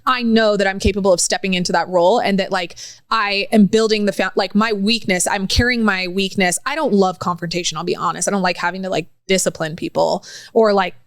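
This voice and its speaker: A young woman co-hosting a podcast in casual chat, animated and quick to laugh. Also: mid-range voice, no room sound. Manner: animated, laughs often, quotes herself in raised voice. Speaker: podcast co-host.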